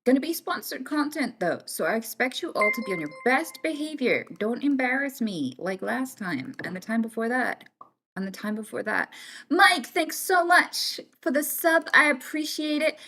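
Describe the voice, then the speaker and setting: Young British female streamer, casual and teasing, with a lively, swinging delivery. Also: on the high side, moderate pace, slight background noise. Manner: chatty, teasing, thanks viewers warmly by name. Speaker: livestream host. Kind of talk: casual chat with live viewers.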